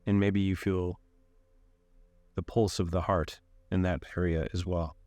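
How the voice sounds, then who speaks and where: A man leading a guided meditation in a calm, unhurried way, giving gentle instructions with long pauses. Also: sits low, dry recording.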